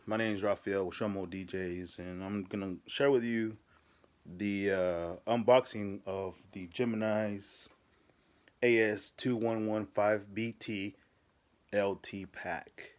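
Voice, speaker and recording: A man's voice: lowish voice, steady tone, slow pace, clean tone. A man giving a casual, plain-spoken product unboxing in the informal way of a working DJ presenter. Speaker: DJ rental business presenter.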